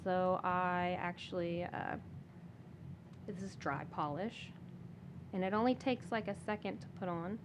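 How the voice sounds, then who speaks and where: A woman, a guest on a podcast, talking casually and softly with many fillers and restarts. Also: fairly low pitch, measured pace, long pauses, background noise. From Michigan. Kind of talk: casual interview talk.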